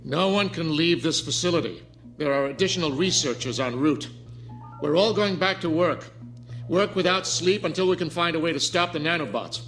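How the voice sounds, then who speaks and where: A cold lab chief who speaks with stern authority. His voice is a little high for a man, in audio drama style, with faint music behind. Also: moderate pace, fairly bright tone. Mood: commanding. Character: cold, commanding male scientist in charge of a lab.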